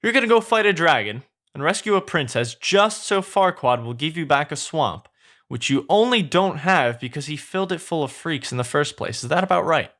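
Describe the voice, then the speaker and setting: A man voicing a fast-talking, excitable donkey sidekick in a fairy-tale story, rambling and informal. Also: a little high. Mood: incredulous.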